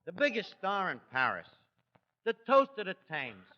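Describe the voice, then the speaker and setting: A man's voice: high-pitched, very animated, moderate pace, pushed and bright, heavily acted. An actor playing a scheming, malaprop-prone New York tavern manager in 1940s radio comedy, slangy, hesitant and mangling his words. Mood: coaxing, scheming. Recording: noisy, muffled 1940s radio recording, echoey hall.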